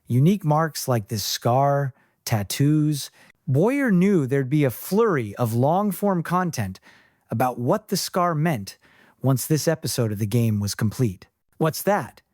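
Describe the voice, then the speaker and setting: Man narrating fiction with lively pitch. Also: a little slow, no room sound, studio quality. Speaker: audiobook narrator.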